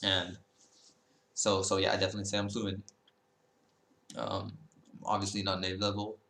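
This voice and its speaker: A man's voice: deep voice, monotone, normal speed, bright, forceful tone, reverberant. A man in his early twenties, talking casually to camera in a rambling way, full of fillers and self-corrections. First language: Dutch. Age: 20s. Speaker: language-learning YouTuber.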